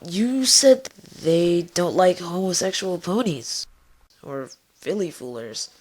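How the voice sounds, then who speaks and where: A woman's voice: on the low side, lively intonation, takes it slowly. Woman as an earnest, blunt young pony mare. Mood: concerned. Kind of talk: fan fiction audio drama acting.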